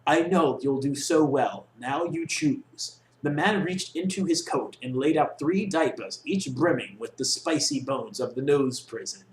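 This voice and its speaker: Young male story reader, theatrical and mock-serious, lightly high-pitched, recorded in a bare reverberant room. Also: a little slow, few pauses. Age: young adult. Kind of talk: reading a comic story aloud. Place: small dorm room with block walls, indoors.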